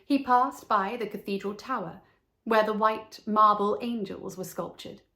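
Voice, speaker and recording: A woman reading a children's story as narrator, measured and expressive. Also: medium pitch.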